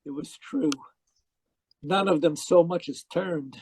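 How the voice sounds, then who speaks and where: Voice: medium pitch, slow, a little mellow, breathy edge, rough recording. An actor in a radio mystery playing a distraught, newly dead spiritualist, dramatic and earnest. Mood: haunted narration. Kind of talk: old-time radio mystery acting and narration.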